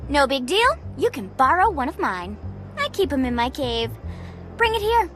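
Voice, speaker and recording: A woman's voice: high voice, very animated, normal speed, a bit bright, faint music behind. A woman voicing a snarky, gossipy teenage creature in a video game, with an exaggerated American valley-girl drawl. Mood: gushing, nosy. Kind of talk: cartoonish video game character acting.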